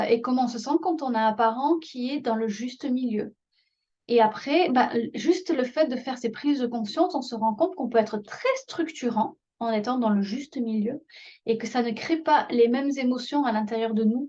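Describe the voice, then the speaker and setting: A woman's voice: a little high, a little mellow, lo-fi sound. A French-accented woman who leads parenting workshops, talking casually to camera, full of fillers and restarts. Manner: chatty, many fillers and restarts.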